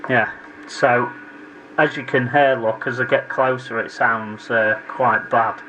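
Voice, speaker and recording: A man's voice: mid-range voice, fairly even intonation, slow pace, background noise. A man giving a casual hands-on repair tutorial, informally addressing viewers and thinking aloud as he works. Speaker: repair hobbyist presenting his own video.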